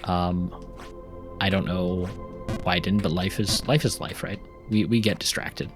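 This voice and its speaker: A man's voice: deep voice, steady tone, a little slow, over music. A man chatting casually while playing a game, rambling with frequent ums and restarts and occasional laughs. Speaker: gaming YouTuber and novelist.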